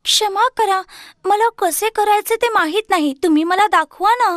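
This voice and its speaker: A woman's voice: very high voice, normal speed, fairly bright tone, no echo, studio quality, voice pushed up. A woman voicing an earnest, brave young girl in a children's fairy tale. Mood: meek, pleading.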